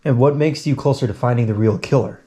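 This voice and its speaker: A man's voice: medium pitch, fast, warm tone, husky, close to an everyday voice. An actor playing a sarcastic, exasperated male investigator from a secret department in an audio drama. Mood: sceptical. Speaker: field investigator.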